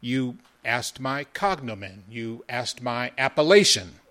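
A proud freed man, read by a male narrator, composed and reasonable. He speaks in a medium-pitched, even voice with formal, deliberate wording, as in a historical audiobook. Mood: calm, measured.

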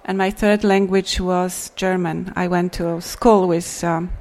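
A middle-aged woman from Poland with a Polish accent, a native Polish speaker, answering audience questions as a poet in a relaxed, reflective way with soft laughs. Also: on the low side, unhurried. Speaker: poet and translator. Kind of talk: Q&A after a poetry reading.

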